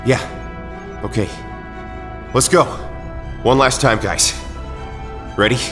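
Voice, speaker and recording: A man's voice: medium pitch, takes it slowly, lightly acted. A man playing an earnest young superhero team leader in an audio drama, determined and rallying. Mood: resolute. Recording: clear music behind the voice.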